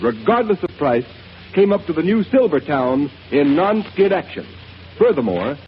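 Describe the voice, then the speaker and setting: A male radio announcer reading an emphatic 1930s commercial. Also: high voice. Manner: emphatic, salesy. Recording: dull old radio broadcast with hiss.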